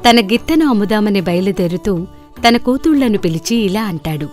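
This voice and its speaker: A woman's voice: medium pitch, expressive, moderate pace, a little mellow. A woman narrating a scripted folktale as a storyteller. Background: background music.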